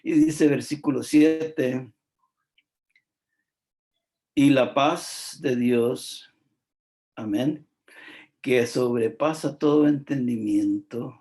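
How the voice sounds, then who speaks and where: A man preaches a devotional sermon, speaking at a slow, unhurried pace. His voice is gravelly and mellow, and he leans on emphatic repetition with frequent fillers. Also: medium pitch. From El Salvador.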